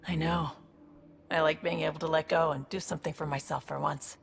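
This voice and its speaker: An actress playing a tough, streetwise woman in a video game, blunt and reflective, with a gravelly voice. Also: low voice, fairly even intonation, fairly quick, noisy background. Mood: reflective, hopeful. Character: tough, streetwise woman seeking a fresh, honest start.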